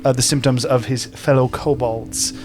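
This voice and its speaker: A man's voice: medium pitch, fairly even intonation, moderate pace. Man running a tabletop game over music. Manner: conversational, explaining rules and events to players. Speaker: game master. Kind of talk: improvised narration of a tabletop roleplaying game. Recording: music behind the voice.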